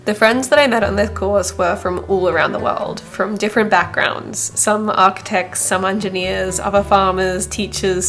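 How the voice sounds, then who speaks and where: A woman's voice: medium pitch, a bit bright. Woman vlog narrator, scripted voiceover, kinda reflective n earnest, music playing under her. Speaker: vlogger, narrator.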